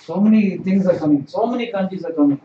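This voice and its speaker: Male kanji teacher, high voice, repetitive explainer, echoey room. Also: fast, slight background noise. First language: not Japanese. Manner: explanatory, repeats words, asks "right?". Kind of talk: livestream kanji lesson. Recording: distant, roomy, dull lo-fi stream audio.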